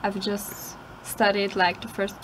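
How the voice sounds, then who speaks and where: A woman's voice: mid-range voice, flat delivery, takes it slowly, fairly bright tone, a little hiss or hum, poor audio. A young woman from Austria, an exchange student guest who speaks hesitantly. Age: young adult. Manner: hesitant, with many "like" and "um" fillers.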